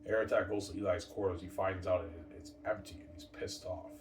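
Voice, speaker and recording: A man's voice: low voice, steady tone, fairly quick, far from the mic. A man in his thirties talking casually and repetitively to his viewers, looping back on the same phrases. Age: 30s. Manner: repetitive, loose, addresses viewers as guys. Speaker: vlogger. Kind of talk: casual rambling monologue. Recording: echoey room, off-mic, background noise.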